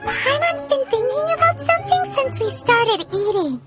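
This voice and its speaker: A woman voicing a tiny, cheeky, childlike fairy companion in a video game, with a squeaky voice and childish manner. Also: big pitch swings, moderate pace, over loud music. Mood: puzzled. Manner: childish, refers to herself in third person. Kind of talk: scripted video game acting.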